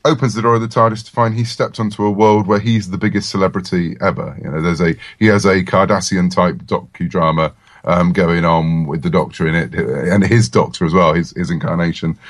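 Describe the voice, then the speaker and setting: A man's voice: sits low, no room sound. A man chatting casually and enthusiastically as the guest in an interview, talking as a comic writer.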